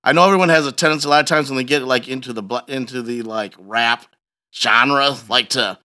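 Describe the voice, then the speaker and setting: A male podcast host speaks in a bright, punchy voice at a middle pitch. He chats casually with a guest, using slang and leaning hard on his points. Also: fairly quick. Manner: emphatic, slangy. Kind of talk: casual conversational interview.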